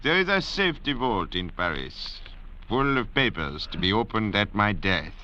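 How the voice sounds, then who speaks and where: An actor playing a cold, self-assured doctor stranded on a raft in an old-time radio drama, formal and deliberate. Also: male voice, lowish voice, sing-song, bright, forceful tone. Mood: imperious, assured. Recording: dull, noisy vintage radio recording.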